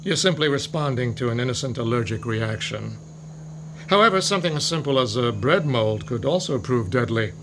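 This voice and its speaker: A man's voice: medium pitch, animated, a little fast, background noise, close to an everyday voice. An actor playing a male professor who lectures patiently about fungi in an audio drama. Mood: lecturing. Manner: lecturing, explanatory. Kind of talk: modern audio drama acting.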